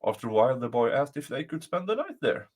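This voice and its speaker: A man reading a folktale aloud as a storyteller, with deliberate, dramatic phrasing. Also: medium pitch, big pitch swings, darkish tone, slightly rough.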